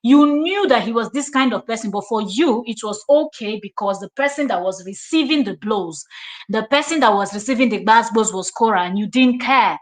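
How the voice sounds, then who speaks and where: A woman's voice: fairly high pitch, animated, moderate pace. A woman with a Nigerian accent giving reaction commentary as host, confrontational and emphatic, repeating her points for stress.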